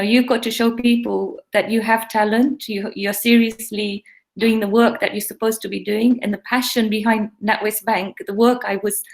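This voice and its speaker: A woman's voice: medium pitch, low-quality recording. A middle-aged woman from East Africa with a mixed East African and English accent, talking earnestly and reflectively as a guest in a casual interview. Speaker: guest, pharmacy owner.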